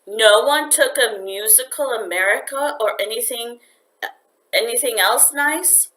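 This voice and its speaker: A woman's voice: a little high, unhurried, a bit bright, echoey room, pitched above the performer's own voice. A woman reading a storybook, voicing a peaceful, kindly father with a soothing, resigned manner. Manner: soothing, mild.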